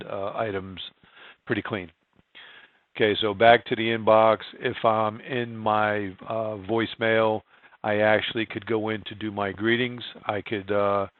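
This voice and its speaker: A man narrating a screen-share software tutorial, explaining each step in an informal, plain-spoken way. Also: fairly low pitch, no room sound, narrow, tinny audio. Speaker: software trainer.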